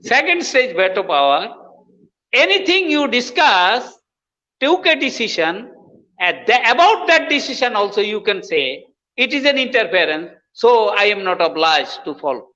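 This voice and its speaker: A man's voice: sits high, sing-song, normal speed, pushed and bright. A man from Bangladesh, explaining his views as a guest professor and repeating phrases for emphasis. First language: Bengali. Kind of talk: explanatory interview talk. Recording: dull lo-fi audio with long reverb.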